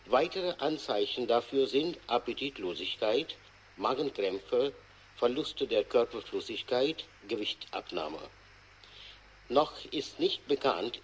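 A man playing a sober expert who gives a measured, formal report in a German radio drama, over faint hiss. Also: medium pitch, poor audio. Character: sober male expert reporting radiation dangers.

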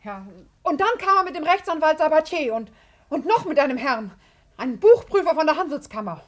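Indignant woman bookkeeper, very high sharp voice, stammering, self-righteous, wide swoops, old radio drama. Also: big pitch swings, fairly quick. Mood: flustered, defensive. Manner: flustered, stammering, repeating words. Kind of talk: 1950s German radio drama acting.

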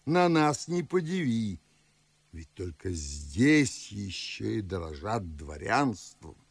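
An actor playing a pompous, garrulous older Moscow nobleman, declaiming husky-voiced in old-fashioned theatrical verse for a radio play. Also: fairly low pitch, very animated, takes it slowly, no room sound, heavily acted. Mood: boastful.

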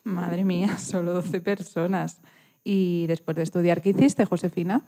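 Very mellow-voiced Spanish woman interviewer. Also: lowish voice, fairly even intonation, moderate pace. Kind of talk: informal interview.

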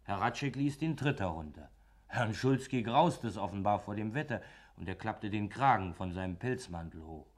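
A man's voice: lowish voice, lively intonation, moderate pace. An actor playing a simple farmhand and coachman, speaking deferentially and colloquially in a German radio play. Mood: steady recounting. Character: plain, obedient farmhand and coachman telling his account.

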